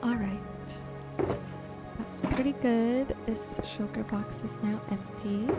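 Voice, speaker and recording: A soft-spoken woman giving unhurried ASMR gameplay commentary, musing to herself and her viewers. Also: fairly high pitch, fairly even intonation. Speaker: gaming streamer and host. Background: music and rain sounds.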